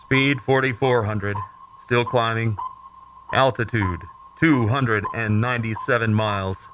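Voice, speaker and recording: A man's voice: medium pitch, steady tone, unhurried, background noise. An actor playing a bold, focused male rocket plane test pilot, giving clipped technical reports in old-time radio drama style.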